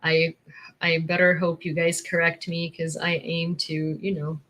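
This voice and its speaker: A woman's voice: lowish voice, monotone, measured pace. A young woman from Ukraine, Ukrainian native, with an American accent, chatting casually on a livestream and laughing often. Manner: chatty, laughs often, thanks viewers warmly. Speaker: livestream host.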